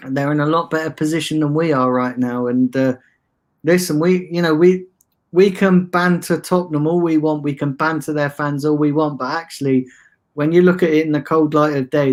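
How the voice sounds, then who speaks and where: A man with a soft, soothing voice and a slightly higher pitch talks football in a laid-back way. He hesitates often, restarting phrases and filling gaps with "uh" and "um". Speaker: guest, fan channel streamer. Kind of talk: casual football chat.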